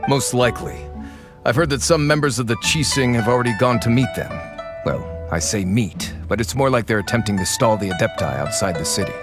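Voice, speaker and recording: A man's voice: medium pitch, brisk, close to an everyday voice. A man voicing a shrewd male agent who talks in a dry, conspiratorial, knowing way, in a game scene. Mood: wary. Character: shrewd male agent of a foreign faction, wary. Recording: game audio with music behind.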